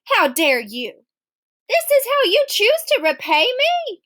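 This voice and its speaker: A woman's voice: very high voice, huge pitch swings, slow pace, fairly bright tone, dry recording, clean studio sound, pitched above the performer's own voice, exaggerated voice. A woman voicing a doting, haughty foster mother who fusses and scolds in a storybook. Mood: outraged. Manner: fussing, indignant, scolding.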